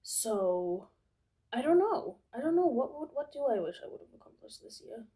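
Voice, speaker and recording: A woman's voice: fairly high pitch, very animated, normal speed, warm tone. A 22-year-old woman from England, chatting casually to camera as a vlogger, rambling and hesitant with many fillers.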